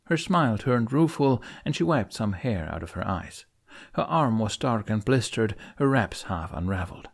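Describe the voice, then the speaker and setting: Man, audiobook narration, middle pitch, expressive storytelling, scripted fantasy fiction. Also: moderate pace, gravelly.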